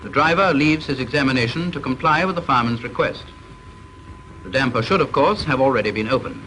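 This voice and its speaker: A man with a British accent giving formal scripted narration for a training film, measured, instructive and stern, on a vintage soundtrack with faint hiss. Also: mid-range voice, bright, forceful tone, low-quality recording.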